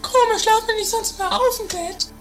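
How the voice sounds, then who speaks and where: A woman's voice: very high voice, brisk, soft background music. A woman playing a scatterbrained, dramatic castle-dwelling sister in a comedy radio play, naive and theatrical. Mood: excitable, naive.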